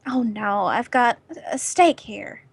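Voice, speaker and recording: A woman playing a nervous, evasive suburban wife and mother in an amateur radio play, with a hesitant, halting delivery. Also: a little high, vocal fry, breathy edge, no echo, heavily acted.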